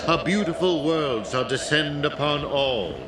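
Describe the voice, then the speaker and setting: A man's voice: fairly high pitch, expressive, a little slow. An actor playing a composed male cult leader who addresses his followers in a solemn, sermon-like way, in video game dialogue. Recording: echoey, with noisy background.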